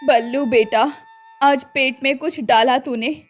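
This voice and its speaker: A woman's voice: sits high, steady tone, soft background music. A woman doing a worried old village mother. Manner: pleading, slow and tearful.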